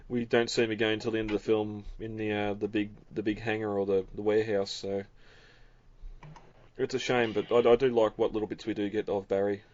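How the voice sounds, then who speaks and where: A man's voice: lowish voice, fairly quick, highs cut off. A man hosting a podcast, chatting casually with fillers and chuckles, then reading a recap. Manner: relaxed, rambling, with frequent fillers and chuckles. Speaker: podcast host. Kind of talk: casual chat and scripted recap.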